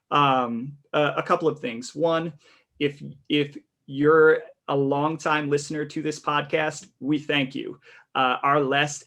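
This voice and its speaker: A man's voice: a little high, fairly bright tone. Tenor guy hosting a podcast, rambly, lots of uhs and restarts. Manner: rambling, many restarts and fillers. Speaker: podcast host. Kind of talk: casual podcast chat.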